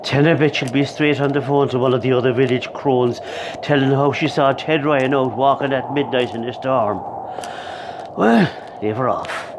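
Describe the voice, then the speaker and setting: A man's voice: medium pitch, moderate pace, noisy background, close to an everyday voice. A man playing a grieving older Irish widower in a radio play, wry and rambling, with an Irish accent. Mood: wry, wistful. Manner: rambling, conversational, emotive.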